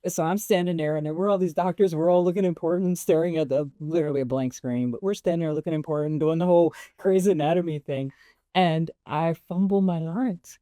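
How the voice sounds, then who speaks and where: Deep-voiced woman telling animated stories. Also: brisk, dry recording, studio quality. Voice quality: relatively deep voice. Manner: animated, laughs often, voice jumps up when excited. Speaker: actress and guest. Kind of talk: casual interview storytelling.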